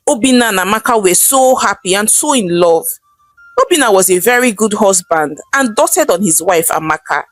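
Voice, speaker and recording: Female storyteller, Nigerian accent, animated scripted folktale read, higher register, dry sound with music underneath. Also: no echo, poor audio.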